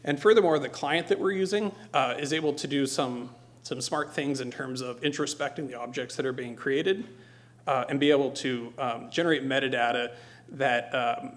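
A middle-aged man presenting technical material as a conference speaker, hesitant, with frequent ums and pauses. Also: mid-range voice. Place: lecture hall stage.

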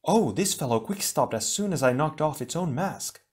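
A man's voice: a little high, expressive, normal speed, darkish tone, voice pushed up, lightly acted. A man voicing a cheerful, chatty young male air hero who speaks in broken, jumbled grammar.

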